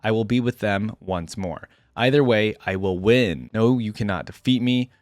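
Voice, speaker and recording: A man reading aloud as a weary, grieving, defiant armored warrior in a solemn, measured storybook manner. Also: lowish voice, dry recording, studio quality, voice pushed down, lightly acted. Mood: grim, defiant. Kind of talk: dramatic first-person story reading.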